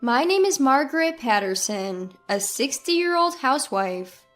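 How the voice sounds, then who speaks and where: A woman's voice: high-pitched, very animated, a little slow, a bit bright. A woman reading a scripted first-person story as its narrator. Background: background music.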